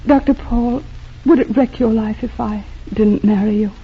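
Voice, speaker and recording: An actress playing an earnest, anguished young woman torn by love, with halting, emotional delivery in 1940s radio drama style. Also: fairly high pitch, fairly even intonation, husky. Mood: anguished, sincere. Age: young adult. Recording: muffled, tinny old radio broadcast.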